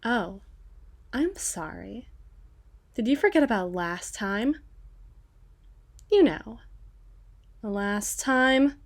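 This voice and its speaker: A slightly high-pitched vampire girl, acted by a woman in an ASMR roleplay. She is proper and ladylike but cocky, with a teasing, chatty, protective way of talking. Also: very animated, full character voice. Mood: taunting, menacing. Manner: playfully prim, teasing, with drawn-out phrases and asides.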